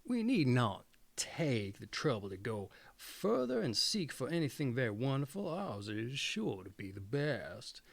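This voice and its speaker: A man's voice: medium pitch, sing-song, measured pace, creaky, dry recording, heavily acted. A man voicing a lazy, scornful elder prince in a storybook reading. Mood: smug.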